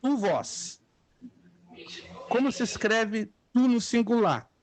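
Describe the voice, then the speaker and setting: A man's voice: extremely high pitch, animated, slow. A middle-aged man, a native speaker of Spanish and Hebrew, teaching a class and patiently repeating words for students to echo. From Brazil. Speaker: theology teacher. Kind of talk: online class lecture.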